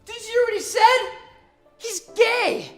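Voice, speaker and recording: A woman's voice: very high voice, a little slow, echoey room. A woman acting an outraged, bullying student rival with melodramatic indignation. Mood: outraged, indignant. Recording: loud music and crowd behind dialogue.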